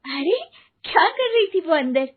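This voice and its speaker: A woman voicing a sensible, concerned young daughter-in-law in an animated moral story. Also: very high voice, measured pace, pitched above the performer's own voice, exaggerated voice. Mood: puzzled. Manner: concerned, reassuring. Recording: narrow phone-like sound, faint noise.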